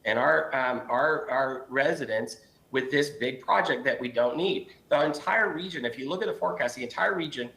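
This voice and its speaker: A man's voice: medium pitch, normal speed, creaky, fairly bright tone, poor audio. A man on a city council arguing against a project at a public meeting, earnest and insistent with frequent restarts, losing his voice.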